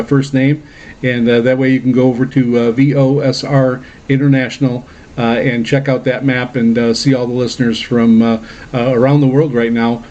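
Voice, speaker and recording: A man hosting a radio program in a casual mailbag style, speaking haltingly with very frequent hesitations. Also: medium pitch, dark tone, muffled recording. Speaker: radio show host.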